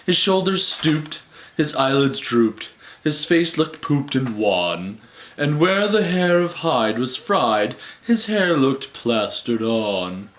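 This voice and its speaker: Guy reading funny poems out loud, really animated pitch, takes his time, playful and theatrical. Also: slow pace. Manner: expressive, rhythmic, playful delivery. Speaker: reader of comic poetry on own channel. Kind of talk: theatrical reading of comic verse.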